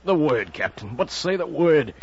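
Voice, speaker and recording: An actor playing a loyal, homesick first mate, respectful and imploring, in old time radio drama style. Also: male voice, a little high, big pitch swings, normal speed, highs cut off, slight background noise. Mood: pleading.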